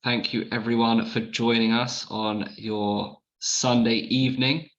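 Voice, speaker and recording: A man hosting a webinar on a video call, introducing his company and guest in a brisk way with many ums. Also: medium pitch, level tone, dull audio. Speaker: webinar host, company founder.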